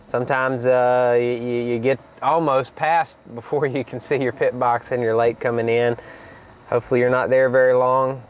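A young man, a race car driver, casually explaining a track while driving, relaxed with many fillers and restarts. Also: mid-range voice, normal speed. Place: inside a car on a racetrack. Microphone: in-car camera. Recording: muffled car-interior audio with road noise.

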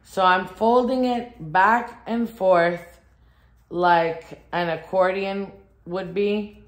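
A woman's voice: medium pitch, fairly even intonation, extremely slow. A woman, chatting and thinking aloud as she gives a casual decorating demonstration. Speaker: home decor vlogger. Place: furnished living room at home, indoors.

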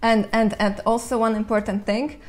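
A young woman from Latvia, a native Russian speaker, answering viewers' questions in English while seated in a bright room. She talks in a thoughtful, easygoing way with light laughs now and then. Her voice is picked up close by a clip-on mic. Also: fairly high pitch, little pitch movement, normal speed. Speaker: language channel host. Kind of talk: casual Q&A to camera. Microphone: lapel mic.

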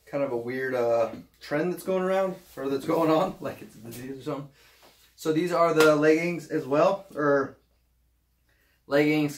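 Easygoing young man rambling on camera. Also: a little high. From Utah. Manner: easygoing, rambling, many fillers and restarts. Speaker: vlogger. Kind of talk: casual try-on haul chat. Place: small home office, indoors.

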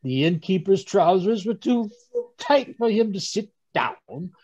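A man playing a genial, fussy older English gentleman, chattily recounting his adventures in old-time radio drama style, with a husky voice. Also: high voice, lively intonation, measured pace, no echo, exaggerated voice. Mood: genial storytelling. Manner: chatty, chuckling, rambling storyteller with stammered asides. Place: home room on a group video call. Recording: dull, dry video-call audio.

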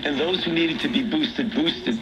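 A man answering questions as an official at a press briefing, measured and deliberate, heard through a radio speaker. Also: a little high, a loud crowd, low-quality recording. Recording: broadcast through radio speaker, loud noise.

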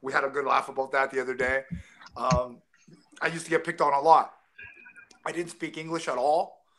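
A man's voice: medium pitch, little pitch movement, normal speed, noisy background. A man in his forties from Taiwan, telling stories as an interview guest over a video call, rambling with many restarts and fillers. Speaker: guest, former fighter. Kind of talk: casual interview storytelling. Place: home room with framed jersey. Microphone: video call webcam close up.

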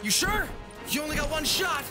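Woman as a very high, urgent adventurer. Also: animated, normal speed, over loud music. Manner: urgent, exclaiming. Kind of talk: audio drama acting.

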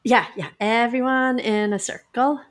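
A woman's voice: fairly high pitch, steady tone, unhurried, lightly acted. An actress in an audio play playing a kindly, hesitant woman in a quirky family, acted naturalistically. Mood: giddy. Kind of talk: naturalistic comedy acting.

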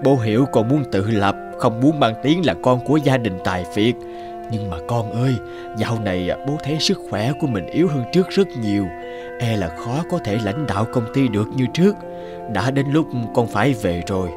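A man's voice: medium pitch, lively intonation, brisk, over music, lightly acted. Male actor as older cartoon father, ailing, weary, grave, persuasive. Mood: weary, pleading. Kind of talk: animated moral-tale voice acting.

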